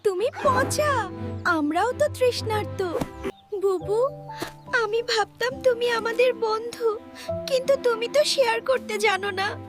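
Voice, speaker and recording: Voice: extremely high pitch, unhurried, long pauses, over music. A performer voicing a small, eager young animal friend in a children's cartoon. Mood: hurt, reproachful.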